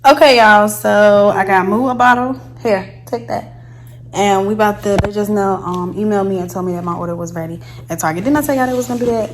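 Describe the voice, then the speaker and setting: A young woman with a slightly hoarse throat, chatting casually to her viewers on a phone while going about her day. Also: mid-range voice, a little fast. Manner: chatty, addresses viewers as y'all, talks to her baby between remarks. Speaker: lifestyle vlogger. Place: in a car and at home. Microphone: phone camera. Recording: noisy phone recording with road noise.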